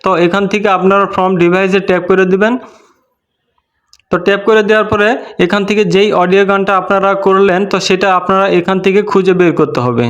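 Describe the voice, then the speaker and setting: A man's voice: high voice, lo-fi sound. A man narrating a step-by-step app tutorial in a repetitive, instructional way. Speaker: tutorial host.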